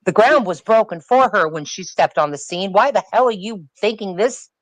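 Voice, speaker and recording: A woman talks music on a streamed panel, opinionated and emphatic, circling back to hammer her points home. The sound is dry and close, with no room in it. Her pitch sits in an ordinary middle range. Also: moderate pace, no echo, poor audio. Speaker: panel guest. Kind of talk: casual chat on a live stream.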